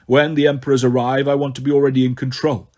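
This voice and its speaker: A man's voice: mid-range voice, little pitch movement, quick pace, mellow, pitched below the performer's own voice, close to an everyday voice. A man voicing an imperious, menacing undead king in an audiobook, commanding and scolding. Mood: scheming, commanding. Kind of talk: audiobook character voice.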